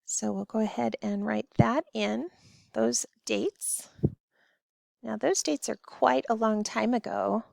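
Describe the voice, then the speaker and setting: A woman's voice: a little high, steady tone, slow pace, vocal fry, darkish tone, no echo. A woman teacher giving children step-by-step instructions in a recorded lesson. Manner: addresses children directly with simple step-by-step directions. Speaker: elementary school teacher.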